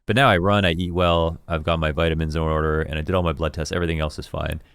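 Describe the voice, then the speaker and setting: A man with a deep voice, an esports commentator candidly reviewing his own work in a rambling, self-critical way. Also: little pitch movement, quick pace, creaky, fairly bright tone, clean tone, dry recording, clean studio sound. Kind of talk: reflective talk reviewing his own casting.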